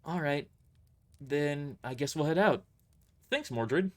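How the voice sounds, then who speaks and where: A man's voice: fairly high pitch, animated, takes it slowly, voice pushed up. A man voicing an earnest female soldier in an audio drama, speaking in a hesitant, polite and sincere way.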